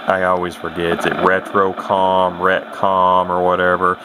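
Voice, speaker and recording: A man's voice: low-pitched, monotone, measured pace. A man giving a casual, unscripted hobby demonstration, rambling and hesitant, with a self-deprecating way of talking, recorded on a camera microphone. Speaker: vintage radio hobbyist presenting his own video. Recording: clearly noisy home camera recording. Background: clear background noise.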